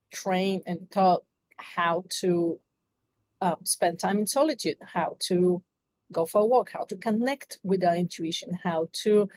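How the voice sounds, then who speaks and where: A woman's voice: medium pitch, a little mellow, no room sound. A middle-aged woman from Bulgaria with a Bulgarian accent, telling her story earnestly and reflectively as a podcast guest. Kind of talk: interview conversation.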